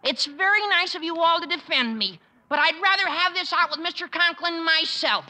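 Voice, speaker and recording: A woman's voice: very high voice, normal speed, sharp tone, heavily acted. An actor playing a wisecracking teenage boy who runs the school paper, in a 1950s radio sitcom. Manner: cocky, wisecracking.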